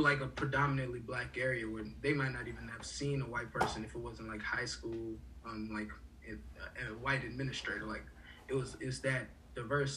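A young man from Haiti talks loosely, with lots of fillers and restarts. His voice is bright and forward. He sounds a bit away from the mic, with a faint hiss of background noise behind him. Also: medium pitch, far from the mic, poor audio. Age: young adult. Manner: rambling, many fillers and restarts. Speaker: college student guest. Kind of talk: casual conversation.

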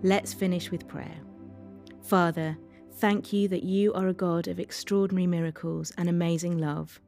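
Low-voiced woman reading a devotional, mellow tone. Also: steady tone, moderate pace, creaky. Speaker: devotional reader. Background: faint music.

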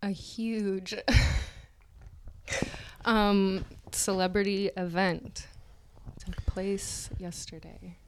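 A young woman co-hosts a casual podcast, gossiping about celebrities with frequent giggles. She talks slowly and drawls her words. Her voice is smooth and clear. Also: mid-range voice, fairly even intonation. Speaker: podcast co-host.

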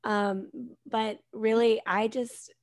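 A woman in her thirties with a soft-spoken voice, a guest author chatting casually in an interview. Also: on the high side, flat delivery, slow, dry recording, clean studio sound.

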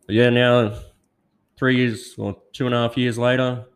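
Man, podcast co-host, casual chat, easygoing, gravelly fry. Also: mid-range voice, little pitch movement, normal speed. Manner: easygoing, laughs along, short replies.

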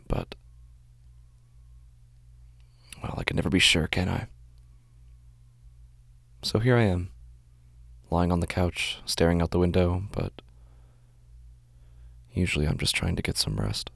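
A man playing a low, creaky, brooding shut-in. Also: no echo. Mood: anxious, weary. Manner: halting, hushed, introspective. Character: weary, brooding, anxious shut-in man narrating horror. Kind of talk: first-person horror audio drama monologue.

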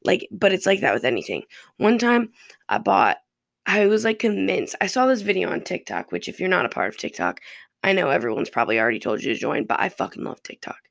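A podcast host from Ohio with a raspy, gravelly voice that swings widely up and down in pitch. They ramble loosely and curse freely, drifting from one topic to the next. The recording is dry and close, with no room sound. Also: sing-song, brisk, fairly bright tone, no echo. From Ohio, USA. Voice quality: raspy, fairly deep voice. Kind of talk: rambling casual monologue.

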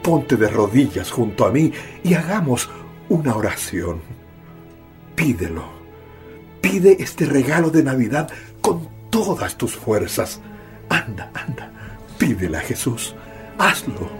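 Radio drama: gentle, devout Chilean father, animated intonation, soft music underneath. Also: medium pitch, slow, long pauses. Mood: gentle urging. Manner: coaxing, gentle.

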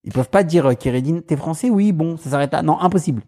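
A man's voice: on the high side, fast talker. A man with a Paris suburban accent, telling stories in a casual chat and mimicking other people's voices. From Paris suburbs, France. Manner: animated, mimics voices in anecdotes, laughs often. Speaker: guest. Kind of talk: casual storytelling.

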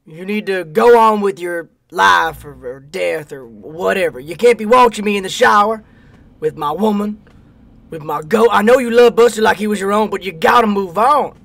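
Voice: medium pitch, gravelly. A performer playing a hapless, defensive country man in a comedic radio play, folksy and exasperated.

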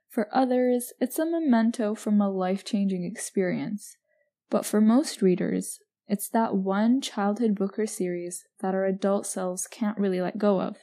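Young woman podcast host, mellow voice. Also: mid-range voice. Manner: chatty, lots of "like" fillers. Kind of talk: casual chat.

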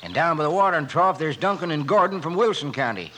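An actor playing a stubborn, suspicious older rancher in a feud, with a rustic drawl, in a western radio drama. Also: male voice, on the high side, expressive, fast, sharp tone. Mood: defiant. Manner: folksy, rustic cowboy drawl. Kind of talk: 1950s western radio acting. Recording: faintly noisy old radio recording.

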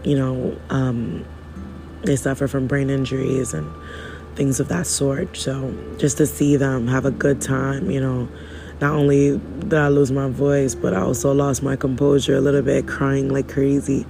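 A man, hoarse from losing his voice, giving a rambling, preachy spiritual podcast monologue in casual slang. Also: medium pitch, level tone, measured pace, background noise.

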